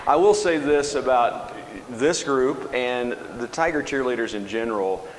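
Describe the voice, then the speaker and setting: A man's voice: mid-range voice, a little slow. A man hosting a school radio show, interviewing a group of students in a casual, encouraging way. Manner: friendly, encouraging, chuckling asides. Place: large classroom with hard walls. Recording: echoey hall with background noise.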